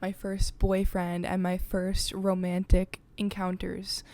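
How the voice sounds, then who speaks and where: A woman's voice: lowish voice, completely flat delivery, slow, darkish tone. A young woman hosting her own podcast, chatting in a confiding way with lots of filler words. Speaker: podcast host. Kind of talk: casual chat. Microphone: desk podcast mic.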